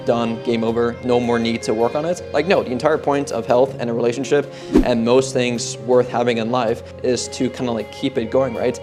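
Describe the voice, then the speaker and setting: A young man in his twenties talks casually and earnestly about goals, his sentences loose and padded with "like" and "kinda". His voice sits at an ordinary middle pitch for a man. Clear guitar music plays behind him throughout. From Netherlands. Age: 20s. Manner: rambling, heavy use of "like" and "kinda". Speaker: fitness coach. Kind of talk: casual motivational talk.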